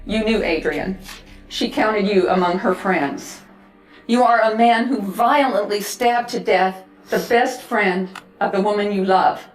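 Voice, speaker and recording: Woman speaking slowly in an echoey room. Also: medium pitch, soft background music. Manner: accusing, emphatic repetition. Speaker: victim's mother giving a statement in court. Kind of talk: victim impact statement, formal address. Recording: echoey, distant courtroom audio.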